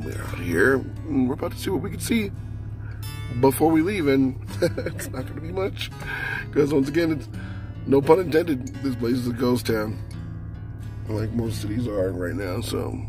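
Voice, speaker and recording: A man's voice: medium pitch, big pitch swings, a little slow, long pauses. Man losing his voice, vlogging outdoors. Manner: chatty, wry, self-narrating. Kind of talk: casual travel vlog. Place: outdoors, windy town streets. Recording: music under the voice.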